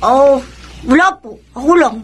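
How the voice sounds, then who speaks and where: A woman voicing an eight-year-old orphan boy in a radio drama, speaking politely in a high childlike voice. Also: expressive, moderate pace, fairly bright tone, slight background noise. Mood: earnest.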